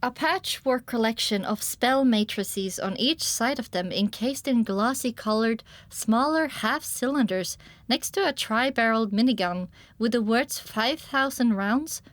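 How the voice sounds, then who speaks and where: A woman's voice: fairly high pitch, measured pace, no echo. A woman reading scripted first-person story narration with a descriptive storytelling delivery. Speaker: audiobook narrator.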